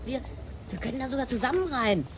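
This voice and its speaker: Insistent kid from the future, radio play, fairly high voice, slangy, clearly noisy background. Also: female voice, lively intonation, fast talker. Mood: boastful, excited. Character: stubborn, slangy child from the future. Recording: clear noisy scene ambience.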